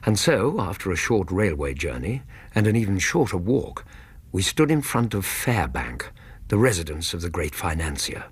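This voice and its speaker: An actor playing a genial, literate Victorian gentleman narrating a radio drama in a husky voice, measured and literary, with an English accent. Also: low-pitched, big pitch swings, heavily acted. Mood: engaged storytelling.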